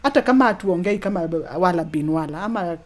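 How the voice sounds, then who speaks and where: A woman's voice: fairly low pitch, animated, fast talker, darkish tone. A woman with a Kenyan accent talking conversationally as an interview guest, in an earnest, encouraging manner. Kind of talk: conversational talk, mixing Swahili and English.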